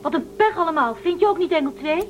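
High-voiced, headstrong young space traveller. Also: female voice, lively intonation, fast talker, slight background noise. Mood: exasperated. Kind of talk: science fiction radio drama acting.

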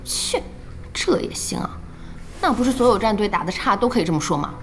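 A woman's voice: mid-range voice, sing-song, fairly quick, faint music behind. An actress in a radio drama playing an outspoken, inquisitive young woman on an esports team, direct and questioning. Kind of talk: Chinese radio drama acting.

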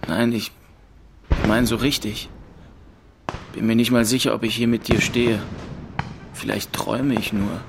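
A man's voice: on the low side, level tone, a little slow, pauses often, noisy background. An actor playing a grieving young male student, narrating a German radio drama in the first person in short, introspective phrases. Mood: weary, subdued. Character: grieving, rootless 22-year-old male student, bitter and melancholy. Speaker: narrator and lead in an audio drama. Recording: music behind the voice. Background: music.

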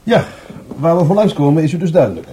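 Skeptical cop in a radio play, very dark soft tone, pitch jumps around, dry n halting. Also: male voice, sing-song, a little hiss or hum. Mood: awkward. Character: skeptical, awkward male police detective, the commissioner's colleague.

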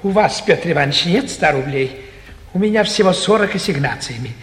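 An actor playing a naive, eager provincial gentleman, obsequious, earnest and halting, in theatrical 1940s stage comedy style. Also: on the high side, lively intonation, close to an everyday voice. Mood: anxious, eager. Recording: echoey 1949 theatre radio production.

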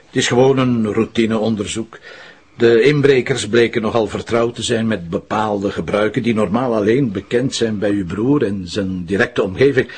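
A man's voice: on the low side, moderate pace, darkish tone, husky. An actor with a Flemish accent playing a courteous, wry police inspector in a radio drama, tactful in his questioning. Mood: courteous, probing.

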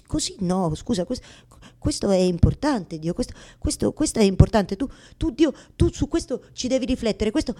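An actress playing an anguished, fearful woman who argues with God in a halting, repetitive theatrical monologue. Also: fairly low pitch, creaky, mellow, slightly rough, heavily acted. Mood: weary, insistent, then impassioned pleading. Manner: halting repetitions, pleading, swings from murmurs to outcries.

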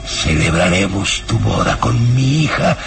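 Radio villain: scheming baron, low voice, slow imperious menace, big swooping intonation. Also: male voice, sing-song, creaky, over loud music, heavily acted. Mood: cold scheming. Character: sinister, commanding baron, cold scheming villain. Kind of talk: melodramatic radio drama acting.

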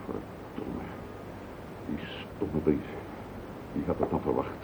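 An actor playing a hot-tempered, guilty husband who blusters indignantly in a Dutch radio play. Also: male voice, slow, creaky, exaggerated voice. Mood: bitter, defeated. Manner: indignant, blustering, prone to outbursts. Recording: muffled phone-like old radio recording, loud rumbling noise.

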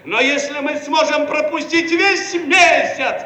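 An actor playing a pompous Soviet bureaucrat chief who orates in a drawn-out, theatrical manner in a satirical radio play. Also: male voice, very high-pitched, pushed and bright, heavily acted. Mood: smug, elated. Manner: pompous, oratorical, drawn-out delivery. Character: pompous male Soviet bureaucrat chief making a muddled speech. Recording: roomy, faintly noisy archive radio recording.

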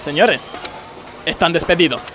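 Actor as a high-voiced pompous Argentine boss man. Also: normal speed, noisy background, close to an everyday voice. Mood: pompous, stern. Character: blind factory boss, male, pompous and stern. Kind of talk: comedic radio theatre acting.

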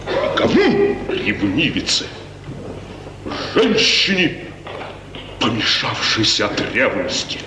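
A man's voice: medium pitch, huge pitch swings, slow pace, long pauses. An actor playing a proud, hot-headed older colonel and father in a formal, brooding, theatrical 1950s radio tragedy style. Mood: bitter, brooding. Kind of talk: 1950s classical stage tragedy radio acting. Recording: noisy 1950s radio recording, long hall echo.

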